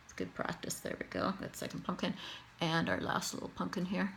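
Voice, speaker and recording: A woman's voice: on the low side, sing-song, a little fast, creaky, a bit bright, a little hiss or hum. A Canadian woman with a Canadian accent, chatting casually and thinking aloud as she demonstrates face painting on herself. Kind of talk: casual tutorial narration while painting herself.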